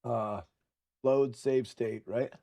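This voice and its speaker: A man's voice: mid-range voice, steady tone, slow pace, gravelly, dark tone, soft background music. A man live streaming a game in a casual way, chatting to viewers and thinking aloud as he goes. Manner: talks to chat, thinking aloud, muttering.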